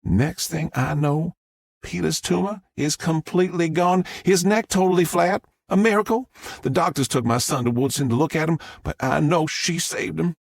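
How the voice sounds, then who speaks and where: A man voicing an excitable middle-aged Southern mother who rambles through her story in a drawl. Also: a little high, normal speed, gravelly, dry recording, pitched above the performer's own voice. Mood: awed. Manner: rambling, breathless storytelling with restarts.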